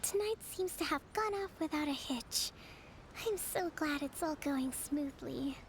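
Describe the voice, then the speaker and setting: An actress playing a gentle, gracious young woman leader in an anime game, speaking with soft, composed formality. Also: sits high, lively intonation, a little slow, a little hiss or hum, rough recording. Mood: quietly concerned. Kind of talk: scripted anime game voice acting. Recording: music behind the voice.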